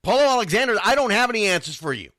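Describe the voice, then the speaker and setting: Man, sports radio host, bright forceful voice, blunt and emphatic, close dry sound, unscripted. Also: high-pitched, big pitch swings, racing, no echo. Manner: blunt, emphatic, repeats phrases for stress. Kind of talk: unscripted sports talk, answering listeners' comments.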